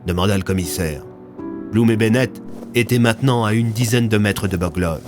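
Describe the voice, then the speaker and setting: A man's voice: lowish voice, normal speed, music in the background. A man narrating scripted audio fiction in a dramatic storytelling manner.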